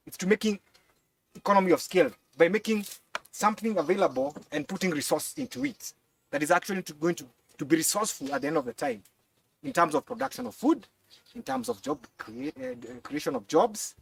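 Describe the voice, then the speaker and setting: A young adult man with a Kenyan accent explaining a farm demonstration on camera, haltingly and with repeated words. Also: sits high, vocal fry, breathy edge. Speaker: fish pond and crop farmer. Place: outdoors on a farm beside ponds. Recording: distant mic, outdoor background noise.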